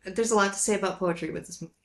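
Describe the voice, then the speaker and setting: A woman's voice: mid-range voice, very fast. A woman co-hosting a casual chat about films, thinking aloud in an informal way. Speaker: podcast co-host.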